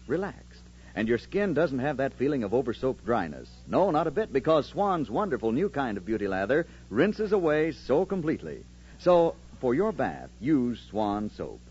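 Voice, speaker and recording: A man's voice: mid-range voice, darkish tone, a little hiss or hum. A man giving polished, emphatic sponsor announcements in 1940s radio style. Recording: dull vintage radio recording.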